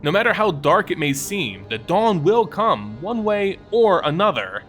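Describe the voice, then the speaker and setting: A steadfast heroine, read by a man in a fantasy story. She speaks gently and gravely to reassure, with an expressive rise and fall over music. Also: sits high, measured pace, voice pushed up, heavily acted. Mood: grave reassurance. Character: steadfast, consoling woman wolf-protector, calm and grave. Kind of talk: dramatic fantasy audiobook.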